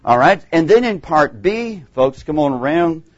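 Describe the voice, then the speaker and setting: A middle-aged man with a Texan accent teaching a Bible class in a classroom, animated and acting out the voices he quotes. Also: on the high side, unhurried, dry recording, highs cut off. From Texas.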